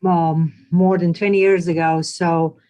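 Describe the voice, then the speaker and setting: A woman's voice: fairly low pitch, little pitch movement, measured pace, warm tone. Woman, hesitant. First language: Serbian. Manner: thoughtful, hesitant, many ums. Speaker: retreat participant. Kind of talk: casual discussion.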